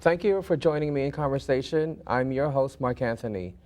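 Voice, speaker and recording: Male talk show host with halting phrasing. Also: medium pitch, a little mellow. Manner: hesitant phrasing, frequent backchannels. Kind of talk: conversational interviewing.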